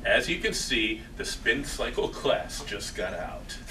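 A man playing a boastful, girl-chasing coffee shop worker at a comedy table read, with a brash, drawn-out delivery. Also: mid-range voice, very animated, echoey room. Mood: leering, smug. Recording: distant camera mic, faint noise.

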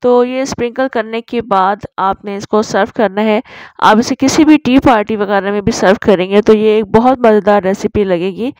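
A woman's voice: mid-range voice, steady tone, normal speed, a little mellow, dry recording, low-quality recording. A woman narrating a recipe tutorial as a voice-over, explaining each step in an instructive way. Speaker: cooking channel host.

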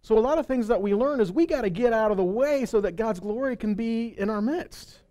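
Male Bible teacher, middle-aged. Soft-edged, warm tone, conversational yet emphatic sermon delivery on a headset mic. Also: high-pitched, brisk. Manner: conversational, checking in with listeners. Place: indoor stage in a meeting room or hall. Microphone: headset microphone.

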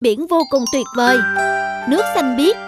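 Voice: high voice, moderate pace, close to an everyday voice. An actor voicing a worldly, breezy seabird in a children's cartoon, talking with boastful enthusiasm. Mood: enthusiastic. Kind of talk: animated children's cartoon dubbing. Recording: music under the voice.

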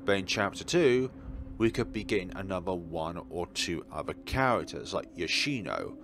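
Deep, creaky-voiced man giving game commentary. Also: expressive, moderate pace. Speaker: let's play host. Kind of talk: let's play narration and commentary.

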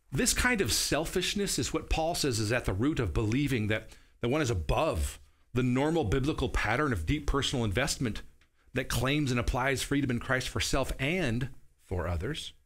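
A man's voice: medium pitch, a bit bright. Man preaching a studio sermon. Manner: earnest teaching tone, explains verses and checks in with listeners. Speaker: pastor. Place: small studio set with a wooden wall. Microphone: seated at a table, camera at medium distance.

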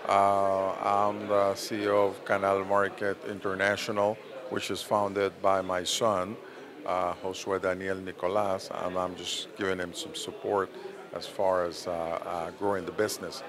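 A man in his fifties, a company CEO, speaking haltingly with many pauses in an event interview. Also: sits low, completely flat delivery. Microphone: handheld interviewer mic. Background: crowd chatter.

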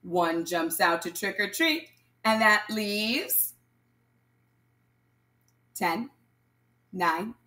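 A woman reading aloud and counting as a primary teacher, addressing young children in an instructional way and pausing for answers. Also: mid-range voice, animated, pushed and bright. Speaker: primary school math teacher. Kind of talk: children's read-aloud lesson, counting along.

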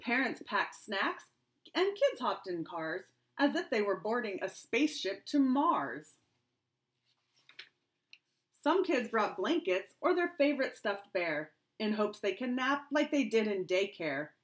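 A middle-aged woman, a kindergarten teacher, reading a rhyming picture book aloud to children in an expressive, sing-song storytelling way. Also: mid-range voice, measured pace. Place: small home room indoors.

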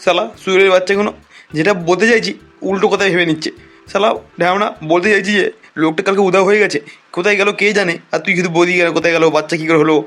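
Man playing a fast, bossy village big brother. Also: sits high, fairly even intonation, fairly bright tone, faint music behind. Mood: exasperated. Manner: crude, scolding, explaining at length. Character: gossipy, bossy village elder-brother type, easily exasperated. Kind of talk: cartoon voice acting.

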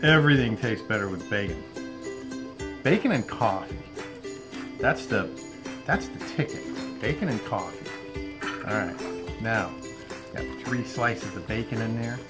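A man in his fifties hosting a casual outdoor cooking demo, relaxed and chatty, often saying "all right", recorded on a camera mic on a patio. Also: fairly low pitch, measured pace, long pauses, over loud music. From Florida. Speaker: outdoor cooking channel host. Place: outdoor covered patio at a table.

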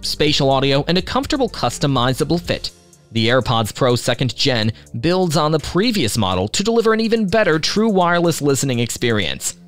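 A man's voice: mid-range voice, a bit bright. A man reading scripted product review narration with a polished promotional delivery.

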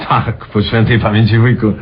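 A man's voice: on the low side, flat delivery, normal speed, close to an everyday voice. An actor playing a polite, curious man in a radio play, putting in short, wondering interjections. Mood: curious, obliging. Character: curious, polite man eagerly listening over drinks. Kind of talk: Polish radio play acting. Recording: dull old radio drama with music.

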